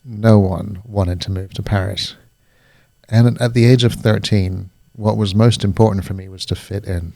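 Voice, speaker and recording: A man's voice: fairly low pitch, steady tone, moderate pace, gravelly, dark tone, no echo. A man with a British accent, a coach talking reflectively as a guest in a casual podcast conversation, often searching for his words.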